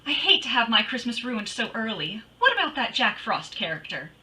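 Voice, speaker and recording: A high, animated female voice of an actress plays a confident private eye in a 1940s radio drama. The tone is bright and forward, with flippant, wry delivery and a breezy air of self-assurance. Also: a little fast, full character voice. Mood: concerned, brisk. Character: wisecracking, confident woman private detective. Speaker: actor in an old-time radio detective play. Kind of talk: 1940s radio acting, narration and dialogue.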